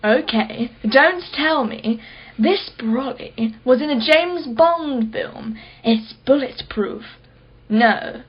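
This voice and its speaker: Woman acting high-voiced, mocking lady customer. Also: lively intonation, takes it slowly, slightly rough, dull audio, heavily acted. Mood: playfully sarcastic. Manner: sarcastic, wordy, theatrical. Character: wealthy mocking woman customer, skeptical and theatrical. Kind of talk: radio play acting.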